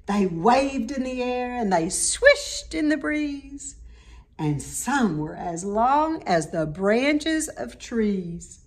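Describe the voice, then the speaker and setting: A woman reads a rhyming children's story aloud. Her voice is fairly high and very animated, moving at an unhurried pace. She stresses words dramatically, as a storyteller does. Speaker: storybook reader.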